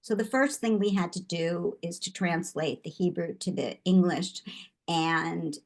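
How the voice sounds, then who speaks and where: An older woman speaks in a low, reflective voice, pausing and restarting as she searches for words. She sounds like an artist answering an interviewer's questions. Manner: thoughtful, with frequent restarts. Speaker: guest artist.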